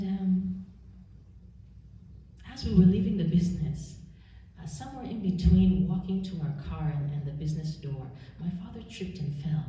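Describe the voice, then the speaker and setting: A woman in her forties from Canada giving an earnest, measured personal testimony at a church service, pausing often. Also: fairly low pitch, mellow. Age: 40s. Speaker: church speaker. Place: church sanctuary. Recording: roomy church, distant mic, dull sound.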